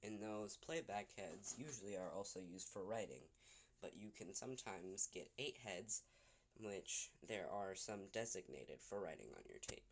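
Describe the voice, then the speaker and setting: A man giving an informal explanation as a presenter, speaking haltingly in short broken phrases with pauses. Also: on the low side, slight background noise. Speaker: video presenter explaining technology. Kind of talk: unscripted explainer, demonstrating to camera.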